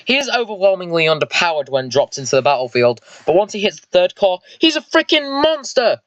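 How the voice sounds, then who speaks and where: A man's voice: sits high, sing-song, brisk, a bit bright, no room sound, lo-fi sound, pitched above the performer's own voice. A man voicing an eager, vengeful squad leader and mech pilot in an amateur sci-fi story, informal and explanatory. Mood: proud, explaining.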